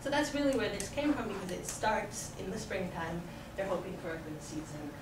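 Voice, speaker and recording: A woman's voice: medium pitch, lively intonation, brisk. A woman teaching a school class informally, prompting students with questions and repeating their answers. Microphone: distant video camera in the room. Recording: distant mic, echoey room, clear background noise. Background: classroom noise.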